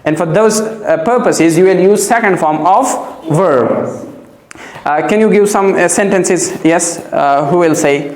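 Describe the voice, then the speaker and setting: A man's voice: sits high, a little slow, darkish tone. A man giving a classroom grammar lesson as a teacher, repeating key phrases and checking that pupils follow. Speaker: English teacher. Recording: echoey classroom.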